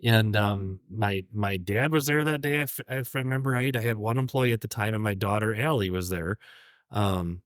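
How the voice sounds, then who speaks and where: A man who runs an antique shop, co-hosting a podcast and telling a story in a relaxed, halting way. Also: lowish voice, no room sound, clean studio sound. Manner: relaxed, hesitant, many ums. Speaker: podcast co-host, antique dealer. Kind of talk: casual storytelling chat.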